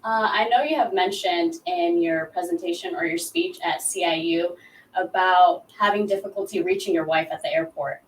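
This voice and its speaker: A woman interviewing a guest, affirming his answers and asking measured questions. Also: lowish voice, distant mic, a little hiss or hum. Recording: echoey room, voice away from mic.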